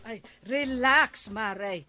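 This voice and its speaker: A woman's voice: a little high, slow pace, sharp tone, exaggerated voice. A woman playing a nagging but level-headed villager in a radio comedy drama, speaking with an Ilocano Filipino accent. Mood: reassuring, curious.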